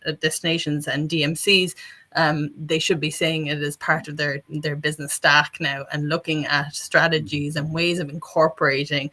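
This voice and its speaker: A woman gives her expert view in a panel discussion. Her voice is strikingly bright and forceful. She speaks unscripted, working through her points in an earnest, explanatory manner. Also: sits low, level tone, normal speed.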